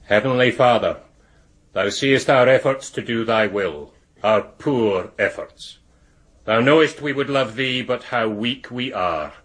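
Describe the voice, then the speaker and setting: A man's voice: medium pitch, measured pace, fairly bright tone, close to an everyday voice, rough recording. An actor playing a stern, pious, domineering Victorian father in a radio drama, solemn and commanding. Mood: solemn prayer.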